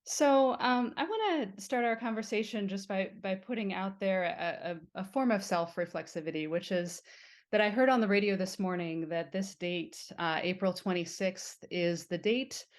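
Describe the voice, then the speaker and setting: Measured woman interview host. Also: mid-range voice. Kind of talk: scripted-sounding introduction then conversation.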